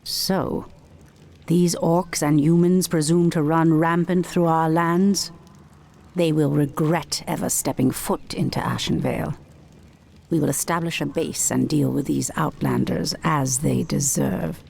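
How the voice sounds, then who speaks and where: An actress playing a solemn, commanding night elf priestess and war leader in a video game, speaking gravely and formally. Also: female voice, low-pitched, fairly even intonation, measured pace, darkish tone, a little hiss or hum, exaggerated voice. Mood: indignant, resolute. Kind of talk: video game cutscene acting.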